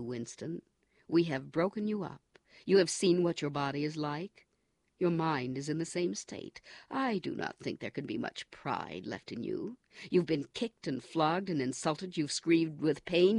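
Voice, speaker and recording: A cold, commanding interrogator, as a male narrator puts him on, loud and contemptuous, with a gravelly edge and a slightly raised voice. The delivery is dry and close, as in a studio audiobook reading. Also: a little high, big pitch swings, moderate pace, no echo, full character voice. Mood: relentless. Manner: forceful, contemptuous, coldly commanding.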